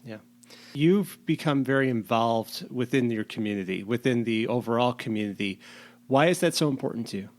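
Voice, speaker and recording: A man's voice: mid-range voice, lively intonation, normal speed. A man hosting an interview, asking long, conversational framing questions. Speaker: podcast host.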